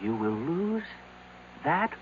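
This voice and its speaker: An actor playing a weak, prematurely aged man in a 1970s radio drama, frantic and rambling, halting and repeating himself as he pleads. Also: a little high, very animated. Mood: urgent, halting. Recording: noisy, tinny old radio broadcast.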